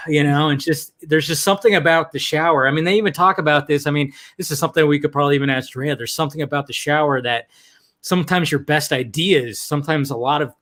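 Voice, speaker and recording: Higher-pitched man chatting casually. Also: a bit bright. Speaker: livestream host. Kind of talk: casual chat on a group podcast.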